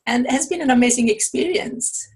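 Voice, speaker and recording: A woman's voice: high voice, fairly quick, a little mellow, breathy edge. A middle-aged woman from Italy with an Italian accent, answering interview questions as an author and coach, thoughtful, with many fillers and restarts.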